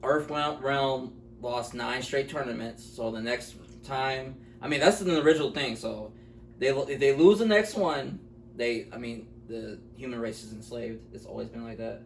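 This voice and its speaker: A man talking off the cuff as co-host of a film review, blunt and incredulous, often halting mid-thought. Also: medium pitch. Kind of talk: casual, unscripted film review chat. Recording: echoey room, distant mic, faint noise.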